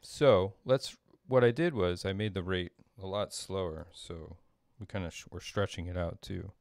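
Low, gravelly middle-aged man explaining. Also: normal speed, darkish tone, no echo, clean studio sound. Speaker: composer demonstrating audio programming. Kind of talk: informal screen-share tutorial. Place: small indoor room at a desk.

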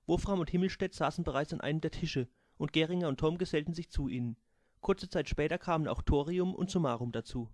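A man reading his own humorous book aloud in a lively storytelling manner, close to the mic. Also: fairly high pitch, quick pace, dry recording. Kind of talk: audiobook narration with voiced characters.

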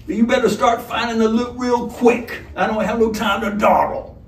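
A man voicing a menacing, rough male robber who leads a gang, with a gruff, swaggering manner. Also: extremely high pitch, fairly even intonation, moderate pace, pitched above the performer's own voice. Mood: threatening. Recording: roomy distant mic.

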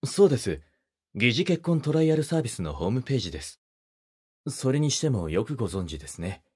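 An actor playing a polite, gentlemanly young wedding photographer who speaks softly and teases the listener in an audio drama. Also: male voice, mid-range voice, very animated, quick pace, slightly rough. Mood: polite, gentle. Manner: soft-spoken, polite, teasing.

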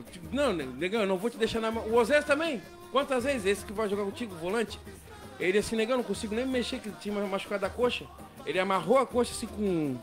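A man with a southern Brazilian accent telling stories in casual, animated, slangy podcast chat. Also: high voice. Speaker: football coach. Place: small indoor podcast studio with several people at a table. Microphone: close studio mic on boom arm.

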